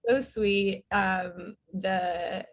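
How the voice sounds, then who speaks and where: A woman's voice: mid-range voice, steady tone, extremely slow. A woman who writes and directs, chatting casually as a guest in an interview, telling her story in a rambling way. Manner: rambling, storytelling, frequent filler words.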